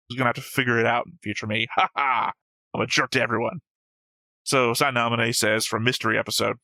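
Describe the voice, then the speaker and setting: A man with a bright, forward voice recorded very dry. He hosts casually, reading comments aloud and bantering, quick to argue a point with a wisecrack. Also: medium pitch, no echo, rough recording. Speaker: reaction show host.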